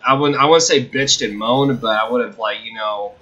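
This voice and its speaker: A young man hosting a video, telling a personal story casually in a halting, rambling, self-correcting way. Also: medium pitch, bright, forceful tone, muffled recording. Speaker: video host.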